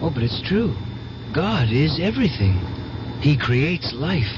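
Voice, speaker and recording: An actor playing a naive, devout young orphan with an intense, earnest manner in a radio drama. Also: male voice, fairly high pitch, very animated, unhurried, background noise. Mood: subdued, earnest. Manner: earnest, fervent about visions and powers. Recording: muffled old radio broadcast with music.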